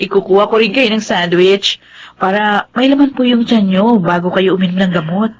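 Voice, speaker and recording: A woman's voice: mid-range voice, normal speed, a little hiss or hum, natural voice, poor audio. An actress playing a loyal, caring female office assistant in a radio drama, polite and solicitous. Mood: caring, friendly. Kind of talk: radio drama dialogue and narration.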